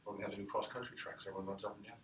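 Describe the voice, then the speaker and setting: A man's voice: on the low side, steady tone, rapid-fire, background noise. A man, a fellow teacher, chiming in with chatty side remarks during a class. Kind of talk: casual classroom comments. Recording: roomy, from far across a classroom.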